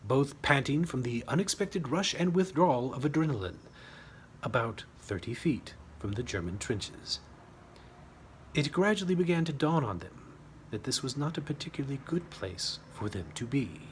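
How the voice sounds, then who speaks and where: A man's voice: on the high side, a bit bright, a little hiss or hum. A man reading his own humorous short story as scripted narration, with a wry, dramatic storytelling manner.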